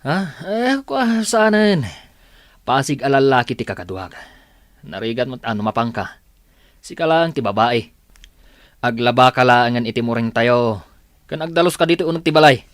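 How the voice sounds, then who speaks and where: A man's voice: mid-range voice, animated, moderate pace, long pauses, no echo, studio quality, close to an everyday voice. A man playing an evasive, dismissive young boyfriend in an Ilocano Filipino radio drama.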